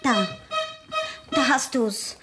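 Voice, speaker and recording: An actress playing a naive, lively teenage girl in a radio play, chattering eagerly and impulsively. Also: fairly high pitch, extremely slow, music in the background. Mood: earnest, impatient. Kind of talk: 1970s radio play acting.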